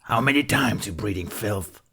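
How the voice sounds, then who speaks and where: Husky, low alien crime-boss matriarch. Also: male voice, expressive, pushed and bright, heavily acted. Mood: furious. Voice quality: guttural, husky bass growl. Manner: slow, menacing, contemptuous. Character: huge gloating, cruel alien crime-boss matriarch, quick to rage.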